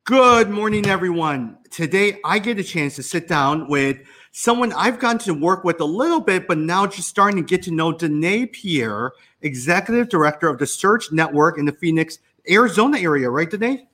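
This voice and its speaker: A man hosting an interview podcast, with a voice a little higher than most men's. He asks friendly questions and keeps the guest talking with quick acknowledgements. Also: lively intonation, bright, forceful tone. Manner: friendly, with short encouraging interjections. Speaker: podcast host.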